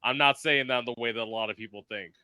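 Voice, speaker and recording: A man's voice: medium pitch, fast talker, bright, forceful tone, clear, resonant tone, no room sound. A man hosting a podcast in casual chat, talking emphatically and filling his speech with "like". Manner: chatty, emphatic, full of "like" fillers; performs in drag. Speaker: podcast host.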